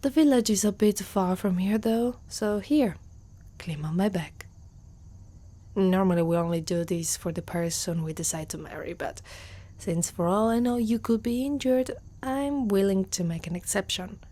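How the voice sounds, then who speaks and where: A woman's voice: mid-range voice, moderate pace, warm tone, lightly acted. A woman with an Italian accent playing a proud, guarded but protective centaur girl in an ASMR roleplay, often dropping into whispers. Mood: concerned, protective. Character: proud, guarded young female centaur warrior, gruffly protective.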